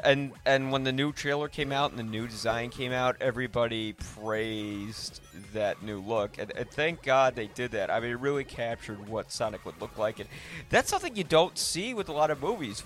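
A man's voice: mid-range voice, fairly bright tone. A man talking enthusiastically and casually about films as a podcast host. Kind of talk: casual film discussion.

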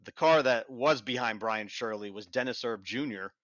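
A man's voice: mid-range voice, normal speed, a bit bright, no echo. An American man talking as a reporter on a podcast panel, casually rambling through race details with repeated, restarted phrases. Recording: dull lo-fi, very dry sound.

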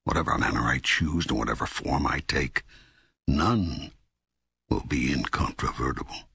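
God as an all-knowing teacher in an audiobook dialogue. The voice is extremely low and heavily gravel-rough, recorded dry and close. Each phrase is deliberate and emphatic, with patient authority. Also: male voice, bright, forceful tone, no echo, heavily acted. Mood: patient, explaining.

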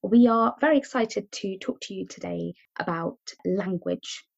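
Gravelly-voiced woman from India. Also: medium pitch, measured pace, lo-fi sound. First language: Tamil. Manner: curious, asks many questions, frequent agreeing murmurs. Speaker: podcast co-host. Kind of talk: casual conversational podcast.

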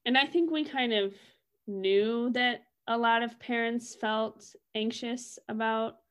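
A woman's voice: on the high side, steady tone, slow pace, a bit bright, smooth. A young adult woman talking casually and reflectively as a co-host in a discussion. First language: English.

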